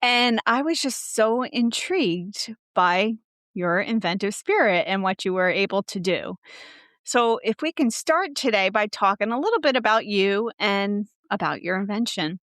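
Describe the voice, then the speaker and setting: A woman's voice: mid-range voice, expressive, measured pace, dry recording, clean studio sound. Earnest woman hosting an interview. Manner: warm, earnest introductions and questions. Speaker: podcast host.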